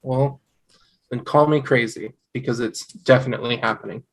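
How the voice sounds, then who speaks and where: A man's voice: medium pitch, steady tone, normal speed, lightly acted, low-quality recording. Man playing an earnest young husband, mid-range voice. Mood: excited. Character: eager, devoted young husband. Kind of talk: sitcom table read.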